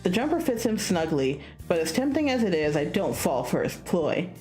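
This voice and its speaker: A woman reads a story game aloud for viewers in a fairly low voice. Her delivery swings up and down expressively, with casual asides. Soft music plays behind her. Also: normal speed. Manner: animated, acts out dialogue. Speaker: streamer reading a visual novel aloud.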